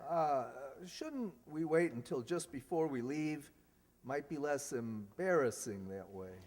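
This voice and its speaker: A man's voice: fairly high pitch, expressive, unhurried, darkish tone. A man acting in a radio sitcom as a grumpy, officious middle-aged school principal, blustering and irritable. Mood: gruffly polite. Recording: echoey hall, long reverb.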